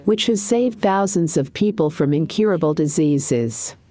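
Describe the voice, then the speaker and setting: A woman with a low voice narrates a guided meditation. She speaks calmly and deliberately, giving soothing instructions in short phrases with pauses. Soft background music sits faintly beneath her.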